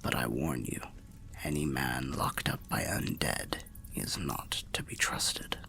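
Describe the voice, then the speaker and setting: A man voicing a weary old undead wanderer telling fantasy tales in ASMR roleplay, half whispered and gravelly, with a measured, wry, old-fashioned way of speaking. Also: pushed and bright, exaggerated voice. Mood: cautionary, hushed. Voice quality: breathy whispering, gravelly low voice. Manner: measured, archaic, wry storyteller.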